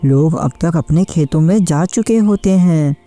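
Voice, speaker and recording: A woman's voice: low voice, measured pace, mellow. A woman voicing a hardworking, kind-hearted farmer husband in a cartoon story, speaking in an earnest, plaintive way. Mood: exasperated. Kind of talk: scripted cartoon story acting. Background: background music.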